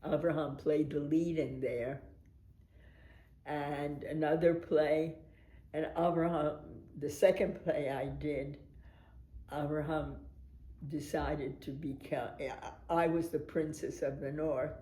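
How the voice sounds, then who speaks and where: Older American actress, slow-paced animated storyteller with frequent laughs, roomy echoey sound. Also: female voice, low voice. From United States. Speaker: veteran stage actress. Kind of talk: reminiscing documentary interview.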